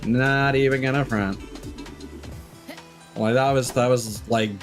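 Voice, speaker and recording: A man's voice: medium pitch, steady tone, slow pace. A man giving casual live gameplay commentary as a streamer, thinking aloud in loose, candid asides. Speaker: game streamer. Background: game music.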